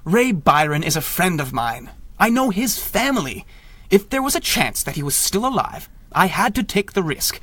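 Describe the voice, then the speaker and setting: An actor playing a proud, skeptical young inventor and adventurer in a 1930s radio drama, speaking formally and with self-importance. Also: male voice, high-pitched, big pitch swings, moderate pace, sharp tone, breathy edge, no echo, full character voice. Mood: grave.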